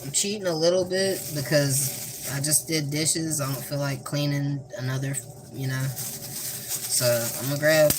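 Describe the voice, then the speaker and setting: A young man with a Southern US accent casually talking through a cooking vlog in a flat, monotone, deadpan way, rambling and joking. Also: fairly high pitch, measured pace. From American South. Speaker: cooking vlogger. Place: home kitchen. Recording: noisy home recording.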